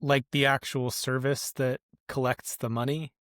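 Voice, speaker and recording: A man's voice: medium pitch, little pitch movement, moderate pace, fairly bright tone, dry recording, clean studio sound. A man chatting casually as a player in a tabletop game. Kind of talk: casual chat.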